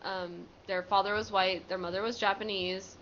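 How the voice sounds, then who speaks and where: A woman's voice: mid-range voice, steady tone, normal speed, a bit bright. A young woman telling a story in casual podcast chat, with frequent ums. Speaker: podcast co-host. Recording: muffled lo-fi recording with faint noise.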